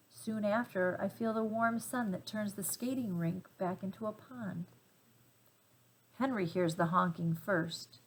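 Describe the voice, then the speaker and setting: A woman reading a children's storybook aloud with gentle, deliberate phrasing and dramatic emphasis. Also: medium pitch, poor audio. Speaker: storybook reader.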